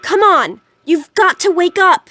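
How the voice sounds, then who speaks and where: An anxious teenage girl in a video game. Her voice is high and youthful, and she speaks in an earnest, pleading way, like a loyal friend. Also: animated, a little slow, pushed and bright, dry recording, soft background music, full character voice. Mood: urgent, alarmed. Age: young adult. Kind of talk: video game dialogue acting.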